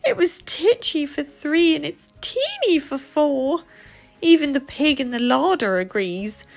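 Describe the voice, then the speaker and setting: A woman voicing a fretful, grumbling little old lady from a children's storybook, pleading and wailing in a drawn-out, dramatic way. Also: high-pitched, very animated, vocal fry, faint music behind, pitched above the performer's own voice, heavily acted. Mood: exasperated wail.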